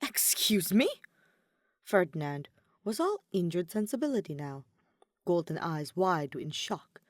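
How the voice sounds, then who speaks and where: A woman narrating a story in an expressive audiobook style. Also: lowish voice, unhurried, no echo, clean studio sound. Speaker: podfic reader, narrator.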